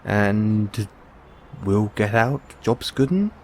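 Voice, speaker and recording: British man, performed by an actor, anxious furtive investigator, unhurried halting speech, lively swings in pitch, audio roleplay. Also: slight background noise. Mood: quietly determined. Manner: hesitant, halting, stammering. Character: male android owner, anxious, furtive amateur investigator, kindly.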